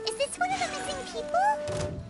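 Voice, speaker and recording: A woman voicing a tiny, excitable and blunt childlike fairy companion in a video game, exclaiming as she talks. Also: extremely high pitch, normal speed, music in the background. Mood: excited. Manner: refers to self in third person, exclamatory.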